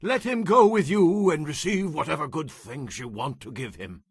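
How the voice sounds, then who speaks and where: Man acting a high-pitched, animated old man. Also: normal speed, breathy edge, no room sound, studio quality. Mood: weary, earnest. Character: very old wealthy man, weary, humbly declining. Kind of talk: dramatized scripture acting.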